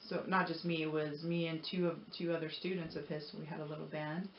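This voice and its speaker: A woman's voice: deep voice, little pitch movement, quick pace. A woman talking casually to viewers in an earnest, encouraging, rambling way, like a motivational vlogger. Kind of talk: casual personal talk to viewers. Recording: roomy echo, dull lo-fi audio, noisy.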